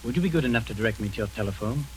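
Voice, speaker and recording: A composed man in an old radio play claims to be a doctor and speaks with calm, clinical authority, as an actor voices him. His delivery is measured yet lively in pitch, with faint hiss under the vintage recording. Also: natural voice, low-quality recording. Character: composed middle-aged man posing as a doctor. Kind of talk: 1940s radio acting.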